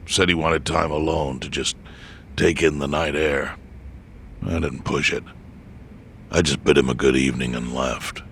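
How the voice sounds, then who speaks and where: An actor playing a grave, weary elderly yakuza chairman in a dramatic video game, speaking in a measured, solemn way with a gravelly voice. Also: very deep voice, fairly even intonation, fairly bright tone, a little hiss or hum, full character voice. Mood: somber, reflective.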